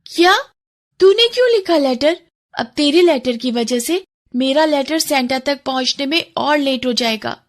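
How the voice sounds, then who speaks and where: A woman's voice: sits high, expressive, measured pace, voice pushed up. A woman voicing a spoiled, haughty young girl who whines and complains in a cartoon story. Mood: indignant. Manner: petulant, complaining. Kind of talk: Hindi cartoon moral story acting.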